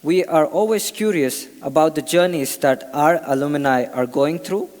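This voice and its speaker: A man's voice: fairly high pitch, moderate pace, a little mellow. A man hosting a startup demo day, introducing guests and interviewing them on stage. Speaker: event host. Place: large event hall. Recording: hall reverb.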